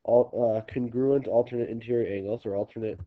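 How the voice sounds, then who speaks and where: A man's voice: mid-range voice, steady tone, brisk. A man with a stuffy, congested nose, teaching a geometry lesson on video and explaining step by step with frequent ums and restarts. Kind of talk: recorded classroom video lesson. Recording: slightly dull lo-fi recording.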